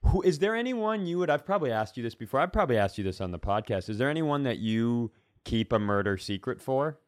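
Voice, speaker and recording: A man's voice: mid-range voice, very animated, fast, dry recording, clean studio sound. A man co-hosting a comedy podcast, chatting casually in a rambling, sweary, story-driven way. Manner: rambling, conversational storytelling with profanity. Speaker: podcast co-host. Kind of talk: casual comic banter.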